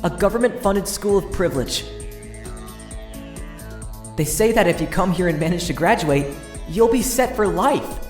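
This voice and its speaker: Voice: mid-range voice, expressive, moderate pace, pauses often, natural voice. Actor as teenage schoolboy, earnest, dramatic first-person narration, video game fan dub, roomy sound, faint background music. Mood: earnest, wistful. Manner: earnest, dramatic, inner-monologue delivery. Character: earnest teenage boy student narrating his predicament. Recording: roomy echo, music underneath.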